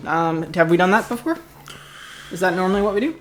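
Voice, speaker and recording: A woman's voice: lowish voice, big pitch swings, quick pace, a little hiss or hum. A woman hosting a comedy podcast, bantering casually in a playful, mock-stern way.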